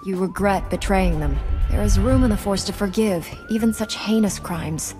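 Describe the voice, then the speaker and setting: A woman's voice: medium pitch, normal speed, lightly acted. Woman acting earnest young female Jedi over music. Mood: composed. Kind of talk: dramatic video game voice acting.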